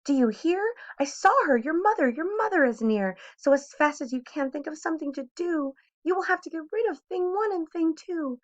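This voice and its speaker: A woman's voice: sits high, animated, normal speed, hardly any pauses, voice pushed up. A woman voicing a frantic, bossy, fearful pet fish in a children's storybook, with urgent, exclamatory warnings. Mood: panicked, urgent. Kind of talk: children's storybook read-aloud. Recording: dull lo-fi sound.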